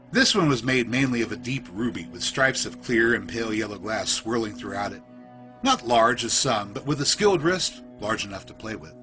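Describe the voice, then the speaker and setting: A man reading a scripted first-person horror story in a literary, measured, suspenseful storytelling manner. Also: fairly low pitch. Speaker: storyteller, podcast narrator.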